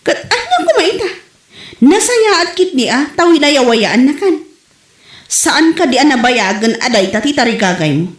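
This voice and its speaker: Woman acting a high-voiced, flirty Ilocano mistress. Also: big pitch swings, normal speed. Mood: seductive. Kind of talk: Ilocano audio comedy drama acting. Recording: echoey room.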